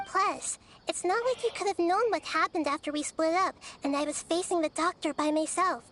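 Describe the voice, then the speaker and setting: A woman playing a small childlike goddess in a video game, gentle, composed and articulate, explaining things in measured phrases. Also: extremely high pitch, slight background noise. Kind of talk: video game character acting.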